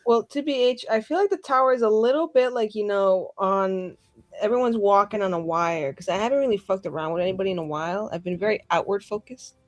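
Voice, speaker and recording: A woman's voice: medium pitch, a little fast, no room sound. Flippant, sweary woman telling a story. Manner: sweary, flippant, dramatic, slangy. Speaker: player in a tabletop roleplaying game. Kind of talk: casual in-character storytelling. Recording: faint music behind.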